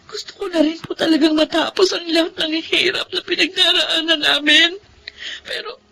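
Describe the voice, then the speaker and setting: An actress with a Filipino accent playing a struggling, persevering student and dutiful daughter in an audio drama. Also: female voice, very high voice, a bit bright, exaggerated voice, low-quality recording. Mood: tearful. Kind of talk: Filipino radio-drama style acting.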